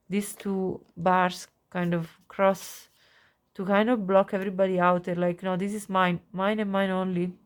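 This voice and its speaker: A woman with a cold and a nasal voice giving a pick-a-card tarot reading, gushing softly over the cards. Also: medium pitch. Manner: soft, gushing, warm-hearted with frequent little laughs. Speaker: tarot reader.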